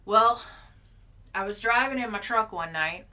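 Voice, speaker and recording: Someone playing a male rural Bigfoot eyewitness in an improvised comic interview, deadpan, hesitant and put-upon. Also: mid-range voice, unhurried.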